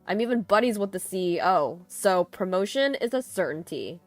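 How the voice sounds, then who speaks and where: A woman voicing an arrogant, boastful, cowardly man in a story, loud and blustering. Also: mid-range voice, lively intonation, normal speed, close to an everyday voice. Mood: smug, contemptuous. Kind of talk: dramatized storytelling. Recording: music behind the voice.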